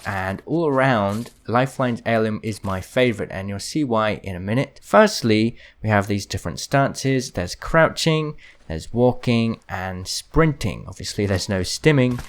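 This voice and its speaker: Male gaming creator, lowish pitch, casual explainer tone, slight background noise. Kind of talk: casual explanatory gameplay commentary.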